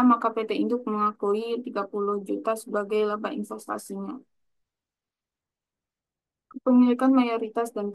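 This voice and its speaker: A woman's voice: mid-range voice, creaky, rough recording. A young woman giving a scripted explanatory presentation as a student, in a formal, recited manner. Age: young adult. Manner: formal, recited from prepared text.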